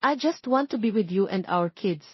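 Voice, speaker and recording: A woman's voice: mid-range voice, expressive, moderate pace, breathy edge, no room sound. A woman with a Filipino accent voicing an earnest, devoted young man in an audio romance story. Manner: earnest, serious. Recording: slightly dull, lo-fi recording.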